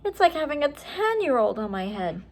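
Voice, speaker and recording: A woman's voice: sits high, big pitch swings, moderate pace, pitched above the performer's own voice. A woman voicing a cautious, bookish young boy adventurer in a storybook, given to muttering. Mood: dismayed. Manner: muttering, hushed exclamations.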